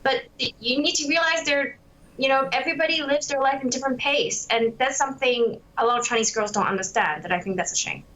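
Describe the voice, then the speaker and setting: Bright-voiced young woman, reflective. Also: high voice, fast talker, low-quality recording. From Hong Kong and Canada. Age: 20s. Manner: reflective, uses many fillers. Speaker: interviewee. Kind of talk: candid interview.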